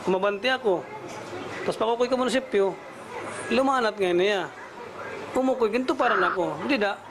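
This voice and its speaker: Male interviewee, very high-pitched for a man, Waray accent, recounting off the cuff, clearly noisy location sound. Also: moderate pace, crowd noise. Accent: Waray, Philippines. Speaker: news interviewee. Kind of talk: spontaneous interview account.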